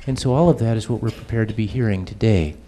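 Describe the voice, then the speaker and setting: Middle-aged pastor introducing speaker, mellow. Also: male voice, fairly low pitch, quick pace, slight background noise. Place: church hall. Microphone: handheld mic.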